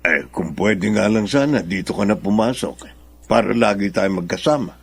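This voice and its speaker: A man's voice: on the low side, lively intonation, moderate pace, creaky, husky, full character voice. An actor playing a placating, secretive middle-aged father in a Filipino radio drama. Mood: cautious, placating.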